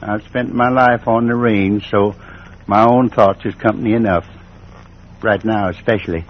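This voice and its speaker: An actor playing a weary, uneasy older cowboy with a hesitant, polite, folksy manner in a 1950s radio western. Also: male voice, fairly low pitch, steady tone, normal speed, natural voice. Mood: uneasy, humble. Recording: muffled, faintly noisy old radio recording.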